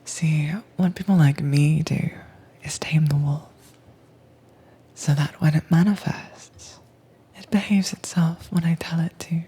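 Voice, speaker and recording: A woman's voice: deep voice, fairly even intonation, takes it slowly, mellow, low-quality recording. Woman whispering as a cool, teasing captor. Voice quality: breathy whisper. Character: calm commanding woman agent taming werewolf. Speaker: audio drama voice actress. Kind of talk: soft-spoken whispered roleplay audio.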